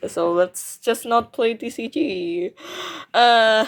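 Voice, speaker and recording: A woman giving casual, rambling playthrough commentary, excitable and often repeating her words. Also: a little high, very slow. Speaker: gaming video creator. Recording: music behind the voice. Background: clear game music.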